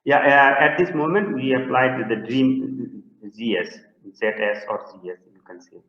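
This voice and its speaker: Hesitant researcher from Bangladesh, echoey call. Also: male voice, mid-range voice, moderate pace. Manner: hesitant, many fillers and restarts. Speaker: presenting researcher answering questions. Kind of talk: webinar Q&A answers. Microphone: video call. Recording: echoey, dull lo-fi call audio.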